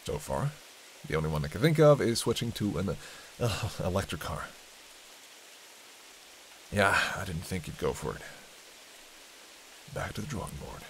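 A man's voice: fairly low pitch, poor audio. A man playing a sardonic, teasing vampire in an intimate roleplay, talking in a conversational, bantering way with dry sarcasm. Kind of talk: intimate audio roleplay monologue. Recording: steady hiss and rain behind. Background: rain.

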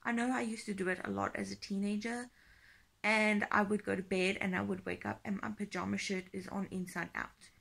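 A young woman in her twenties vlogging casually from bed, talking sleepily in a croaky, tired half-whisper into a phone held close. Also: medium pitch, flat delivery, brisk, fairly bright tone. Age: 20s. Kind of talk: casual vlog chat. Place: bedroom, lying in bed.

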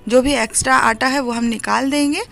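A woman's voice: high-pitched, fairly even intonation, brisk, vocal fry, a bit bright. A woman narrating a step-by-step recipe tutorial in an instructive, explanatory manner. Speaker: home cooking host. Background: music.

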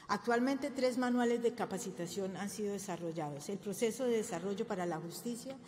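Older woman, conference speaker at a podium mic, halting delivery, roomy reverb with faint ambience. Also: mid-range voice, darkish tone, a little hiss or hum. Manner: halting, many fillers and self-corrections. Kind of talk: formal presentation at a podium. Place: government press room. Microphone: podium microphone.

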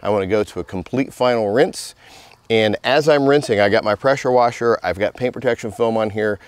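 A man's voice: fairly low pitch, a little fast, dry recording. A middle-aged man giving a casual, conversational hands-on tutorial as a car detailer, speaking outdoors on a close mic. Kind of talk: instructional demonstration, conversational. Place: outdoors in a residential driveway.

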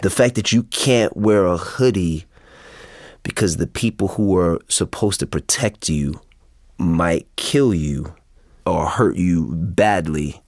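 A man's voice: deep voice, slow, a little mellow, no room sound, clean studio sound. A man speaking candidly and earnestly as a guest in a casual, reflective conversation. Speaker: interview guest.

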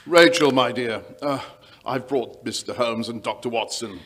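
A man playing a genteel, easily astonished English gentleman, exclamatory and a little hesitant, in a radio play. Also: medium pitch, normal speed, background noise, natural voice. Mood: courteous. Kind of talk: old-time radio drama acting. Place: small indoor stage, live performance. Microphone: vintage-style stand microphone.

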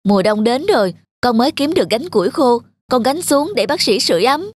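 Earnest, high-voiced village boy. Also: very animated, fairly quick. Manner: earnest, polite. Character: dutiful, worried young village boy caring for his mother. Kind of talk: cartoon dubbing.

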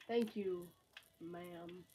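A woman's voice: fairly low pitch, fairly even intonation, warm tone, a little hiss or hum. A woman with a sore throat, casually commenting on her game from a bedroom and rambling to herself. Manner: slow, rambling, talks to self. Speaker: gamer.

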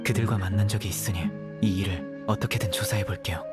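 A man's voice: fairly low pitch, fairly even intonation, fast, over loud music, lightly acted. A man voicing a stern, cool young desert law enforcer in a video game, blunt and composed. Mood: resolved.

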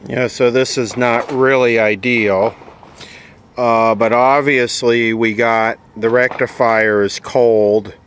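A man giving casual, off-the-cuff narration of a repair job, halting as he thinks aloud. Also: mid-range voice, steady tone, a bit bright, slight background noise. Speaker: host, vintage radio repairer. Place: beside an old console radio being worked on.

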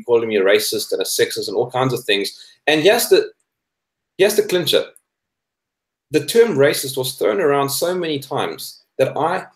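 A South African man in his thirties giving opinions in a debate chat, emphatic and repeating phrases for stress. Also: medium pitch. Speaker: guest, cartoonist. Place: indoor room, video call. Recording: echoey room over video call.

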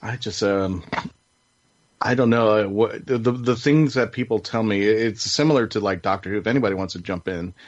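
A man with a fairly low voice hosts a casual podcast panel. He rambles along enthusiastically, close and dry, through slightly dull, lo-fi audio. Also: brisk, dry recording. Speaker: podcast host.

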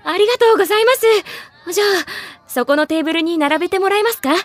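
An actress playing a gentle, polite female teacher of small children in a Japanese anime audio drama. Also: very high voice, lively intonation, racing. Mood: kind, polite.

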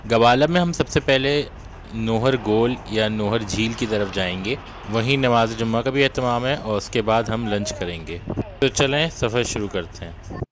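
A man from Pakistan narrating a travel vlog, chatting to viewers as he rides a bus and walks around a roadside stop, filmed on a handheld phone. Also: fairly low pitch. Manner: chatty guide talking to viewers while walking. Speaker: travel vlogger. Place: outdoors, on a bus and by a roadside cafe. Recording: noisy outdoor phone recording. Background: traffic and outdoor noise.

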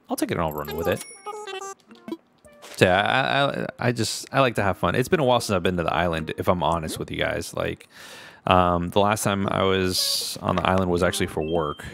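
A man's voice: sits low, vocal fry, fairly bright tone, slight background noise. A man from Puerto Rico hosting a let's play, chatting casually with viewers and laughing often as he plays, recorded on a desk mic in his home room. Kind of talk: casual gaming commentary. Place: home gaming room, indoors. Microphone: desk microphone with webcam.

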